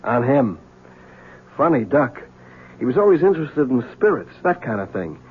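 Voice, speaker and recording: An actor playing a sardonic, wisecracking police lieutenant in a 1970s radio drama. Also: male voice, mid-range voice, very animated, moderate pace, slightly rough. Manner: sardonic, teasing. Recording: tinny old radio broadcast.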